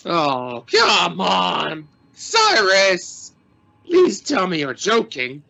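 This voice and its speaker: High, sharp-voiced exasperated expedition crewman played by a man. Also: huge pitch swings, drawn-out words, creaky, exaggerated voice. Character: Lee, exasperated, bossy, nervous expedition crewman. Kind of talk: sci-fi radio play acting.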